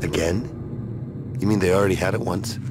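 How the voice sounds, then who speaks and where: A man's voice: deep voice, steady tone, normal speed, over music, natural voice. An actor playing a cynical tough-guy hero in a sci-fi game, with a sarcastic, wry manner. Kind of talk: video game cutscene acting.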